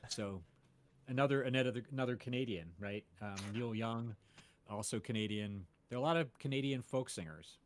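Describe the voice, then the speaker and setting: A man's voice: medium pitch, normal speed, a little hiss or hum. A man, a podcast host, chatting casually about music with many fillers and restarts.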